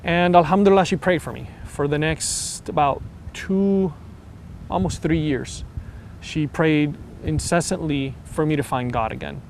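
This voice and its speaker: A man's voice: on the high side, measured pace, a little hiss or hum. A man in his thirties from Venezuela telling his own story with many ums and restarts. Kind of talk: personal testimony.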